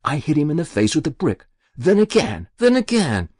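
A man voicing an elderly woman quietly confessing to a long-ago murder, in a raised, lively voice. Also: a little high, normal speed, dry recording, clean studio sound, voice pushed up. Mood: pained.

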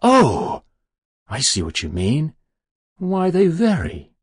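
Storybook devil, performed by a male narrator: genteel businessman, unctuous and mock-pious, very animated delivery, slightly gritty tone. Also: fairly high pitch, slow, slightly rough, no room sound, studio quality, pitched above the performer's own voice, full character voice. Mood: slyly amused. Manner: easy, unctuous, mock-pious, precise diction. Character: smooth sinister devil posing as genteel businessman. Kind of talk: dramatic literary storytelling.

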